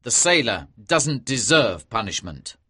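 Woman doing a calm young ship officer guy for an audiobook, low voice, slow n measured, really earnest. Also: slightly rough, no room sound, exaggerated voice. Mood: reluctant, respectful. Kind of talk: audiobook character dialogue.

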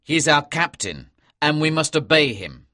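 A woman's voice: deep voice, very animated, measured pace, pushed and bright, voice pushed down, full character voice. A woman voicing an earnest, dutiful male naval officer in a storybook, with a firm, respectful, serious manner. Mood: firm, cautioning.

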